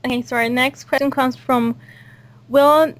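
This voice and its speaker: A woman's voice: high-pitched, fairly even intonation, a little slow, dry recording. A woman hosting an informal cast interview in a casual, chatty style. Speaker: host and audio drama creator. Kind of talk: casual group interview chat.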